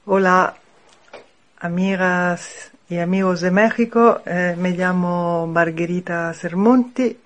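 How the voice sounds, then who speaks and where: A woman from Italy, a native Italian speaker with an Italian accent, speaks in Spanish, carefully reading a prepared testimony as a radio guest. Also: fairly low pitch. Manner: careful, measured delivery, reading numbers slowly. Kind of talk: partly read prepared statement. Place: home in Rome.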